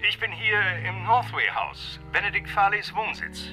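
An actor playing a breezy, flippant doctor in a German radio drama, chummy and informal in manner. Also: male voice, high voice, huge pitch swings, moderate pace, bright, forceful tone, faint music behind, heavily acted, rough recording. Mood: jovial.

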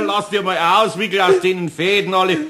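An actor playing a kindly, patient older male master carpenter in a radio play, speaking gently. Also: sits high, flat delivery, normal speed. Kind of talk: 1960s radio play acting.

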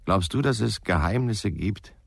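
An actor playing a tipsy, brooding middle-aged ex-policeman in a German radio crime drama, speaking in a halting, ruminative way. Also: lowish voice, vocal fry, slightly rough, no room sound, clean studio sound, heavily acted.

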